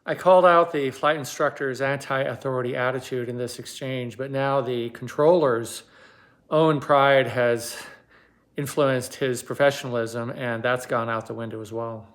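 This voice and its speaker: A man giving explanatory commentary as a host, measured and pausing for emphasis. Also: medium pitch, steady tone, a bit bright.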